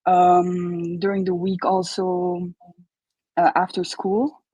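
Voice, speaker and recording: A young Colombian woman with a Spanish accent calls in to share her story. She speaks hesitantly, with a mellow, fairly low voice, and her audio is close and dry. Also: little pitch movement, slow, no room sound, dull audio. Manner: hesitant, many ums and restarts. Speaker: caller. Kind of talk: casual storytelling.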